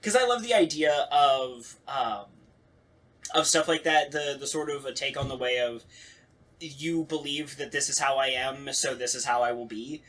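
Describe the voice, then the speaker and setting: A man hosting a casual podcast discussion, talking in a rambling, animated, sweary way. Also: medium pitch, normal speed, low-quality recording. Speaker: podcast host.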